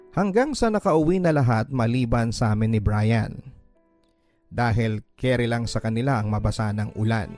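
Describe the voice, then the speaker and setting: A man with a Filipino accent tells a first-person story as a radio drama narrator. His voice sits at a medium pitch. Music runs clearly behind him. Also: darkish tone. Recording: background music.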